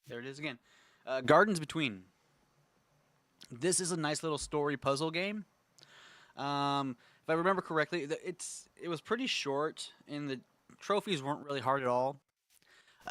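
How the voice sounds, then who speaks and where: A young man with a congested throat, chatting casually as a gaming host, rambling with lots of fillers and frequent throat clearing. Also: mid-range voice, fairly bright tone, no room sound. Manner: rambling, filler-heavy, enthusiastic. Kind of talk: casual tier-list chat.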